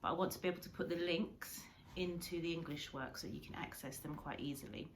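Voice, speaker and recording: Female primary teacher, lowish pitch, informal story-time delivery aimed straight at young pupils. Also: brisk, a little hiss or hum. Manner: addresses children directly with questions.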